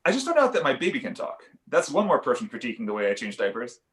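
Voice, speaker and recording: A man's voice: mid-range voice, wildly swooping pitch, fast. Man playing an awkward dad in a roomy echo. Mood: nervous. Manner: hesitant, stammering. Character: awkward young father and struggling stand-up comedian. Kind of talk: animated comedy pilot table read.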